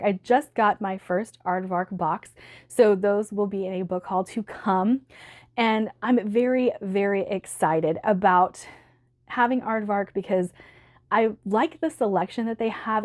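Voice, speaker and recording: A woman book vlogger talking casually to camera, upbeat and chatty, with a slightly hoarse voice from allergies. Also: medium pitch, darkish tone. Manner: chatty, upbeat, laughs often.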